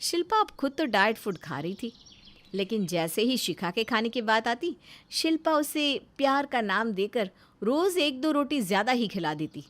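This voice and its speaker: A woman's voice: fairly high pitch, very animated, normal speed, a bit bright. A woman narrating a scripted moral story in a dramatic storytelling style.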